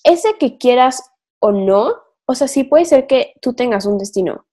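A woman's voice: sits high, animated, unhurried, dark tone. Young woman, podcast co-host, rambling casual chat, lots of fillers. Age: young adult. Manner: rambling, many fillers, thinks aloud.

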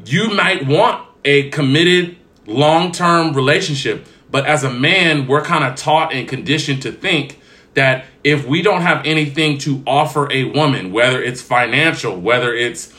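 A young man co-hosting a casual chat, talking in long, measured, reasoned turns. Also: mid-range voice, pushed and bright. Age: young adult. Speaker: podcast co-host.

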